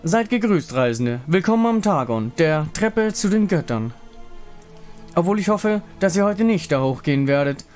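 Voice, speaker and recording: Man voicing a solemn moon warrior woman, higher-pitched. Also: lively intonation, darkish tone. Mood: solemn greeting. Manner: grave, formal, measured. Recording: music behind the voice.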